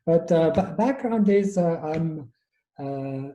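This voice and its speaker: A man speaks as an interview guest with a very dark, mellow tone. He thinks aloud and often restarts his sentences. The room around him gives a noticeable echo. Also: on the high side, lively intonation, slow pace. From Switzerland. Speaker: guest, innovation strategist. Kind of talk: conversational interview.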